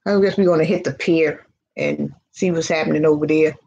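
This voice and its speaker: A woman's voice: low voice, fairly even intonation, brisk, gravelly, a little mellow. A woman born in Virginia and raised in New York City, with a slight Southern twang, co-hosting a casual live chat. She is easygoing and chatty and reads out viewer comments. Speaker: co-host. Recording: dull lo-fi audio, faint noise.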